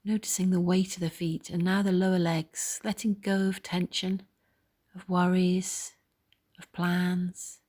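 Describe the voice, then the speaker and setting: A woman leading a guided body scan meditation in a soft, gentle, soothing way, pausing between phrases. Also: fairly low pitch, flat delivery.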